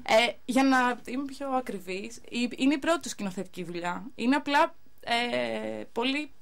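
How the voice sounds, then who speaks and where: A woman's voice: a little high, animated, creaky. A young woman, speaking casually as a guest actress in a radio interview. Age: young adult.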